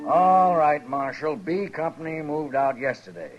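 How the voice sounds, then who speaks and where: An actor playing a stiff, defensive army major in an old radio western, formal and authoritative. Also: male voice, on the high side, very animated, a little slow, faint music behind. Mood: irritated, official. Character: stiff, defensive cavalry major, grown man. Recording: dull old radio recording.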